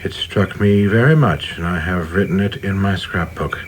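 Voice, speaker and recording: An actor plays a reserved, ambitious government man in a radio drama. His voice is deep, his manner formal, dutiful and controlled, with faint noise in the background. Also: moderate pace, lightly acted, poor audio. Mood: weary, resolute. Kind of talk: dramatic radio play acting.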